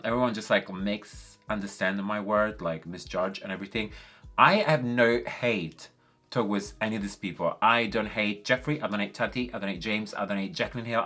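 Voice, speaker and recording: A man with an Italian accent, whose first language is Italian, talks to his viewers in a loose, rambling way full of ums and likes. His voice sits on the low side but has a bright, forceful edge. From Italy. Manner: chatty, many fillers like um and like. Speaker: beauty vlogger. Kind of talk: casual chat while doing makeup.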